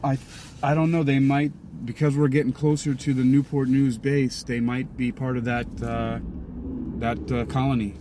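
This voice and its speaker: A man with a mid-range voice chats casually as a mission partner in improvised sci-fi role-play. He is inquisitive and a little halting. Clear car and road noise sits behind him, as inside a moving vehicle. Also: mid-range voice, little pitch movement.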